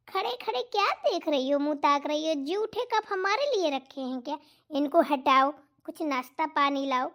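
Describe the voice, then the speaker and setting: A woman voicing a bossy, demanding middle-aged aunt-in-law in a cartoon comedy, scolding and haughty. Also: high voice. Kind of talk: Hindi cartoon comedy voice acting.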